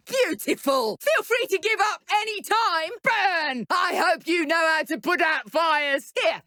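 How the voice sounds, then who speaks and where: A taunting villainous witch from a video game, speaking in a high British voice. Her delivery is haughty and theatrical, with big swings in pitch, and the sound is close and dry. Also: expressive, takes it slowly, bright, forceful tone, no echo, exaggerated voice. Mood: menacing, gloating. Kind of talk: video game combat voice lines.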